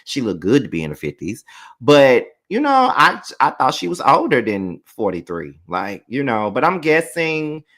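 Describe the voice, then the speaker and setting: A man in his thirties giving a casual, animated reality TV recap, slangy and full of swearing. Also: mid-range voice, moderate pace. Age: 30s. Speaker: reality TV reviewer. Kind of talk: casual live recap commentary.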